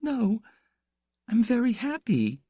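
A man's voice: high-pitched, very animated, a little slow, darkish tone, no room sound, pitched above the performer's own voice. A man doing a shy, tearful schoolgirl. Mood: sobbing. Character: shy emotional teenage schoolgirl. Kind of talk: audiobook character voice.

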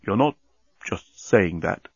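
A man voicing a soft, quiet, tender talking bomb that speaks slowly and deliberately in a storybook. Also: sits low, lively intonation, vocal fry, husky, dry recording, lo-fi sound, pitched below the performer's own voice, heavily acted. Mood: tender, sad. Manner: slow, deliberate counting.

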